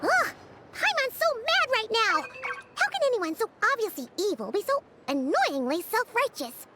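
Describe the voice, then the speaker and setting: Squeaky, extremely high little fairy. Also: female voice, big pitch swings, normal speed. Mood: indignant. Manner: squeaky, speaks of herself in third person. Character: tiny childlike female fairy companion, cheeky, excitable. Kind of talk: cartoonish scripted game dialogue. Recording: music behind the voice.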